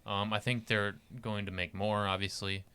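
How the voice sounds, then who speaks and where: Low, bright-voiced man in casual chat. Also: level tone, moderate pace, smooth. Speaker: podcast co-host.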